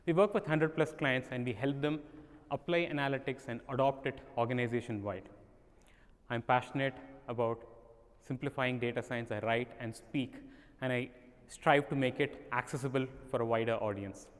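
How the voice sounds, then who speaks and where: A man with an Indian accent giving a prepared conference talk, measured and explanatory like a storyteller. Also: mid-range voice, steady tone. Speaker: conference speaker. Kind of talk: prepared keynote presentation. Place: conference hall. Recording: long reverb of a conference hall.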